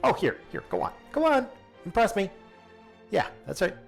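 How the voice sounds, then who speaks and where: A man's voice: high-pitched, wildly swooping pitch, normal speed, creaky, faint music behind, heavily acted. A man playing an exasperated, sarcastic small-town radio host in a comic audio drama, theatrical and grumbling. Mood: sarcastic goading.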